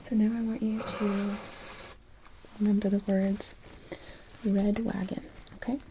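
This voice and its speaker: A woman in her thirties speaking in a soft, breathy whisper, giving calm, gentle instructions as a medical technician in an ASMR roleplay, recorded close. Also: medium pitch, unhurried, slight background noise, low-quality recording. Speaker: ASMR performer playing an EEG technician. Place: small indoor room dressed as hospital bay.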